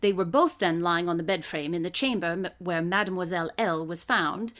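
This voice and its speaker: An actress in a live radio drama playing a composed woman who reads out evidence in a measured, articulate way. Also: on the low side, a bit bright, close to an everyday voice. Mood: composed, grave. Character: woman reading out police evidence, composed, precise. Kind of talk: live radio drama, formal report reading.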